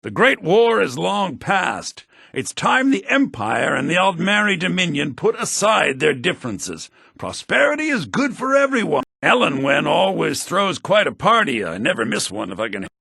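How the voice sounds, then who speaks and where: An actor playing a sly, money-minded, lecherous merchant in a video game, with a smug theatrical manner. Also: male voice, high voice, very animated, normal speed, gravelly, bright, forceful tone, husky, no echo, heavily acted. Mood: smooth, diplomatic. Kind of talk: video game character dialogue lines.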